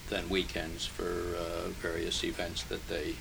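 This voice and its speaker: Older man, low gravelly voice, halting. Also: completely flat delivery. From Hungary, raised in New Jersey. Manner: slow, halting, many pauses and fillers. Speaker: interviewee. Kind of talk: oral history interview. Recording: noisy archival tape recording.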